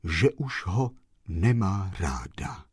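Man doing a deep gloomy gentleman, slow hollow grave voice, dry sound. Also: very animated, husky, no room sound, exaggerated voice. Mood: mournful.

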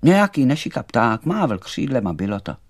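A man voicing a small, merry, excitable male firefly light in a storybook, chatty and exclamatory. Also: mid-range voice, no echo, studio quality, lightly acted. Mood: plaintive.

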